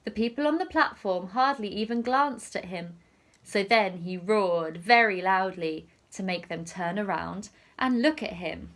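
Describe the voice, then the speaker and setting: A woman teacher reading a picture story aloud to young children in an expressive, deliberate storytelling manner. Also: mid-range voice.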